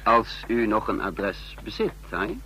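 Shrewd spy guy, measured and knowing, lowish voice, old radio play with a bit of noise. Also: sing-song, bright, forceful tone, full character voice. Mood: sly. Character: shrewd secret agent narrating his own spy scheme. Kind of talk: radio drama narration and acting. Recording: faintly noisy old radio recording.

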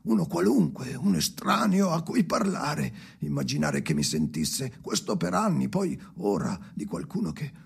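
An actor playing a tormented, bitter ageing man in a radio drama monologue, raspy and often sinking to a whisper, speaking in slow, halting fragments. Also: on the high side, wildly swooping pitch, heavily acted. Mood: anguished, bitter. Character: tormented ageing man haunted by his dead father, brooding, bitter.

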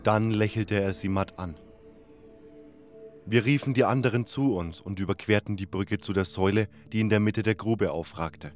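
A man's voice: lowish voice, normal speed, soft background music. A man narrating a scripted fantasy adventure in the first person, as a dramatic storyteller.

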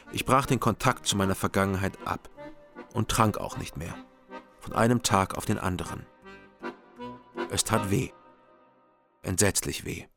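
An actor narrating as a private detective in a calm, measured, wry first-person radio crime style. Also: male voice, fairly low pitch, lightly acted. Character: sober-minded male private detective narrator.

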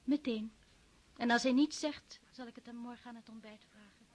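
A woman playing a dutiful, gentle noblewoman. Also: fairly high pitch, steady tone, normal speed, close to an everyday voice. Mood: subdued. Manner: soft, deferential, subdued. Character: devout, dutiful young noblewoman, gentle and anxious. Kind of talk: radio drama acting.

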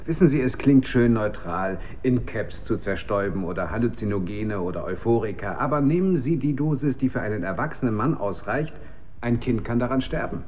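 A man's voice: mid-range voice, expressive, brisk, darkish tone, a little hiss or hum, natural voice. An actor playing a disillusioned male scientist in a radio drama, reflective, hesitant and articulate. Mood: troubled, reflective. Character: brooding, disillusioned male scientist at a weapons works. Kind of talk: German radio drama acting.

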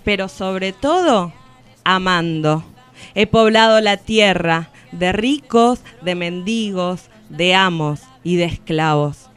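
An actress playing a defiant woman who speaks for all women, in a solemn, deliberate radio theatre recitation. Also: fairly low pitch, fairly bright tone, smooth, faint music behind. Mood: proud, grieving. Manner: solemn, deliberate, poetic declamation. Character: defiant collective woman of all ages, grieving and accusing.

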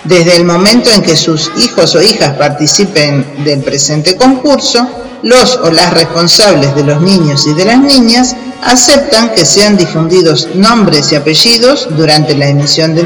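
A man with an Argentine accent and a high voice reads an announcement for children. His delivery is deliberate, item by item, like a radio presenter. Music plays underneath, and a long reverb surrounds the voice. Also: a bit bright. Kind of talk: scripted announcement addressed to children.

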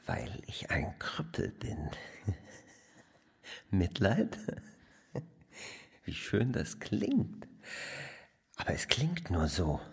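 An actor playing a bitter, self-loathing crippled pawnbroker in a radio drama, theatrical and halting, often dropping to a whisper. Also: male voice, sits low, huge pitch swings, vocal fry, breathy edge, exaggerated voice. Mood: wounded, proud. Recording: long hall reverb.

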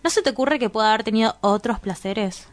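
A woman's voice: on the high side, quick pace, no echo, close to an everyday voice. A woman with an Argentine accent playing a prim, sensible young woman in a radio drama, earnest and questioning. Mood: guarded, earnest. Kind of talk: scripted radio drama acting.

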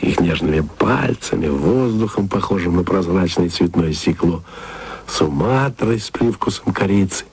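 A wry, jealous, bookish lover. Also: male voice, low voice, a little mellow, slight background noise. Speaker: radio play lead. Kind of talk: intimate radio drama monologue.

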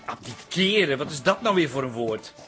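A man's voice: medium pitch, expressive, normal speed, bright, forceful tone, faint music behind. A man playing a plain-spoken, easily puzzled brother in a radio play. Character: plain-spoken, amused, slightly dim brother.

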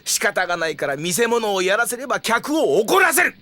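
A man's voice: high-pitched, very animated, a little fast, sharp tone, heavily acted. An actor playing a cranky, bossy old town mayor in an audio drama, with blustery old-man speech. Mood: scolding. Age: older. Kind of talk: Japanese audio drama acting.

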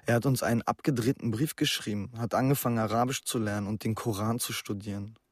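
A man's voice: lowish voice, steady tone, quick pace, no room sound, clean studio sound, close to an everyday voice. A young man playing an anxious, earnest schoolboy who confides his worries in a naturalistic radio drama. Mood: confiding, troubled.

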